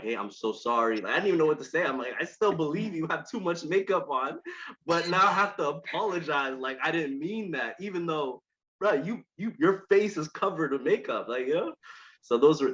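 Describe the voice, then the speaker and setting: A man from Ethiopia telling lively stories as a podcast guest, mimicking others in high voices and laughing. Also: dull audio. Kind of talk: animated storytelling chat.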